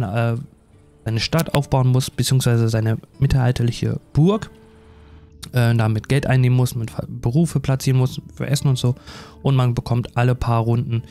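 A man's voice: mid-range voice, warm tone. A man doing casual, rambling let's play commentary in a self-deprecating, chatty way. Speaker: gaming YouTuber.

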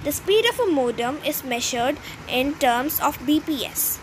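A woman's voice: high-pitched, animated, a little slow, background noise. A woman presenting a school textbook lesson by reading it aloud.

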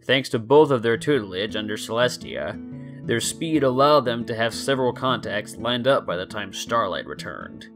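A man in his twenties narrates a story in a scripted, dramatic reading. His voice sits at an average male pitch, with instrumental guitar music playing behind it. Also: animated, moderate pace, fairly bright tone. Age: 20s. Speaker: story narrator and channel host.

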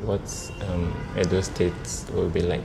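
A Nigerian man in his thirties on a blind date, talking in a hesitant, halting way with many restarts. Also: low voice, little pitch movement, creaky, in a large hall, over music. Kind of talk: casual conversation. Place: indoor restaurant with large windows. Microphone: lapel mic.

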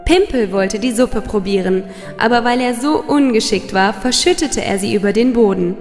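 A woman's voice: fairly high pitch, normal speed. A woman reading a scripted children's fairy tale as its storyteller. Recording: long reverb, music behind.

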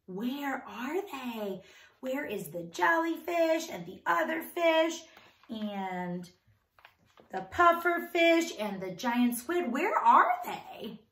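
Female children's read-aloud teacher, thirties, high and bright, sing-song and questioning, recorded in a roomy indoor space. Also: very animated, takes it slowly. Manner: exaggerated enunciation, asks questions and answers them. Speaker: teacher reading a picture book aloud to young children. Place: small indoor room.

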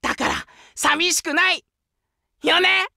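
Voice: very high-pitched, fairly even intonation, slow, vocal fry, bright, forceful tone, no room sound, clean studio sound, exaggerated voice. An actor playing an eager, childlike, fiercely loyal wolf guard dog in an anime game, speaking in simple, exclaimed phrases. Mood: concerned. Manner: simple broken childlike speech, exclaiming, needy for praise. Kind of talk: anime game character voice lines.

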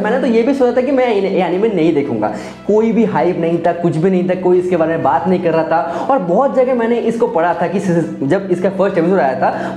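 A man's voice: high voice, mellow. A young Indian man giving casual, enthusiastic anime commentary for online viewers, often repeating phrases for emphasis. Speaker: YouTube anime reviewer. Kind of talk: casual video commentary addressed to friends. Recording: roomy echoey sound, faint music behind. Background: faint background music.

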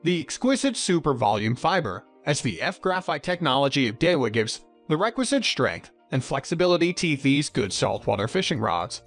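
Animated higher-pitched man narrating over music. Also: moderate pace, few pauses. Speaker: product review narrator. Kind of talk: scripted narration.

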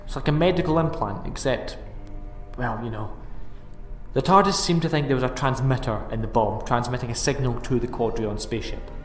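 A man's voice: mid-range voice, fairly quick, lightly acted. A man playing an anxious, self-doubting time-travelling alien hero in an audio drama. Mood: stressed. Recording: echoey reverberant space, faint music.

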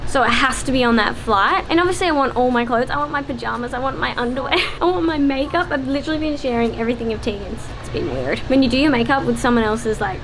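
A woman in her twenties with an Australian accent, chatting casually and expressively as she vlogs on a handheld camera. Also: fairly high pitch. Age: 20s. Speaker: vlogger. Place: car and hotel room. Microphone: phone or camera at arm's length. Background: background music.

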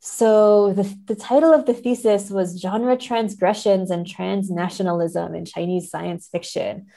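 A woman's voice: medium pitch, fairly even intonation, unhurried, creaky, warm tone. A woman speaking as a scholarly interview guest, explaining ideas in a careful, hedging academic manner. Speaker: podcast guest, literary scholar. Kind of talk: thoughtful academic interview talk.

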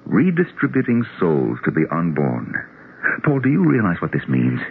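A man's voice: low-pitched, huge pitch swings, normal speed, gravelly, husky, heavily acted. A man acting an earnest, intense grieving psychologist in a 1970s radio drama. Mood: earnest, insistent. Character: earnest, grieving psychologist obsessed with proving afterlife. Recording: muffled, noisy old radio broadcast.

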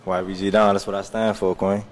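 Low-voiced young man, laid-back. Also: little pitch movement, brisk. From Haiti. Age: 20s. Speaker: contestant. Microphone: handheld mic. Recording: reverberant studio hall.

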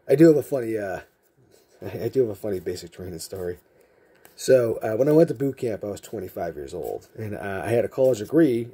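A man from Massachusetts with a softened Massachusetts accent, chatting in a rambling, jokey way while sorting trading cards indoors, picked up by a camera mic from off-camera. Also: on the low side, creaky, breathy edge. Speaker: card collecting channel host. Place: indoor room with card shelves.

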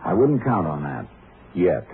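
Deep-voiced, dry radio lawman. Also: full character voice. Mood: dry, measured. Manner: dry, deadpan, measured questioning. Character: calm, skeptical male Texas Ranger investigator. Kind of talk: 1950s radio drama acting, first-person narration and dialogue. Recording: muffled vintage radio recording with music.

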